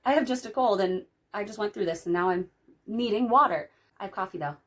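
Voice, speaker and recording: A woman in her thirties, hoarse from a cold, reading out writing questions and answering them in casual chat. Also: medium pitch, a little fast, a bit bright. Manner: chatty, reads out questions then answers them. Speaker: writing vlogger. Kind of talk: casual talk-through of a tag video.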